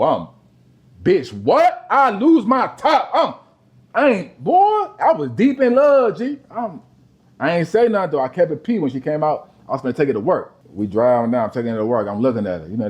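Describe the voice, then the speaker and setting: A man, co-hosting a podcast. He tells profane stories, dropping to a low mutter and then breaking into loud outbursts. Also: muffled recording. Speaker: podcast co-host. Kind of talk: casual chat, storytelling.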